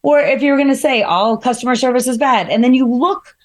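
A woman in casual conversation, her somewhat high voice carrying a slight harshness. She thinks aloud, building points through everyday examples. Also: fairly quick. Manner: reflective, gives examples, many fillers. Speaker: guest speaker.

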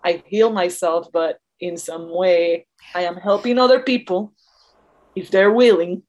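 A woman's voice: on the low side, measured pace, darkish tone, poor audio. A woman speaking as an interview guest, explaining her ideas in a reflective, earnest, conversational way. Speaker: interview guest, dance choreographer.

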